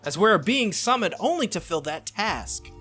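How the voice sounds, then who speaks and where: A man's voice: high voice, big pitch swings, moderate pace, sharp tone, faint music behind, voice pushed up, heavily acted. A man voicing a stern, proud female knight spirit from a visual novel, speaking formally and insistently. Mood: indignant.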